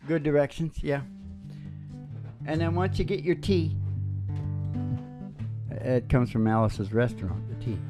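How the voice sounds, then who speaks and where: A man's voice: fairly high pitch, a little slow, long pauses. Rambling folksy man over guitar music. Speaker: radio show host and singer. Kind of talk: rambling, folksy live radio show chat over music. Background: acoustic guitar.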